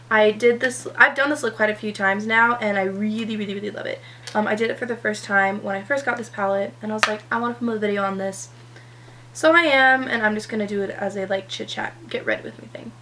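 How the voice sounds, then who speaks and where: A seventeen-year-old girl chatting casually through a makeup routine, slightly hoarse from a cold, rambling with lots of "um" and "like". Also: medium pitch, fairly quick, background noise. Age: teenager, 17. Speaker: beauty vlogger. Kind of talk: chatty get-ready-with-me vlog.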